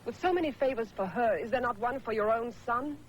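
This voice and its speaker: A woman's voice: fairly high pitch, fairly quick, a little hiss or hum, natural voice, low-quality recording. An actress playing a bitter, scornful queen. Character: bitter jealous queen, resentful mother. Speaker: queen and mother. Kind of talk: 1950s Hollywood epic film acting.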